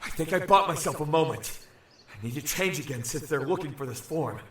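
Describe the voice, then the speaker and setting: An actor playing an earnest, wary young shapeshifting creature in an audio drama, thinking aloud in a naive, formal way. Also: male voice, a little high, expressive, a little fast, roomy sound, recorded from a distance, background noise, lightly acted. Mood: scheming, relieved. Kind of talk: audio drama acting with inner-thought narration.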